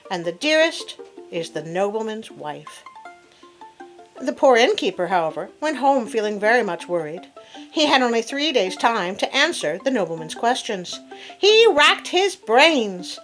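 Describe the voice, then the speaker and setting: A woman telling a fable as host in an expressive, theatrical read-aloud style with playful asides. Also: a little high, low-quality recording. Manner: theatrical, animated. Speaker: storyteller host. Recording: classical music playing behind. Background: soft piano sonata.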